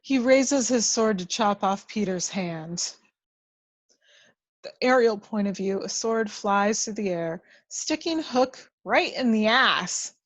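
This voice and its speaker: A woman's voice: medium pitch, animated, slow, no room sound. A woman reading out the action of a screenplay as a dramatic narrator. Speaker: script narrator. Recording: dull lo-fi, dry microphone.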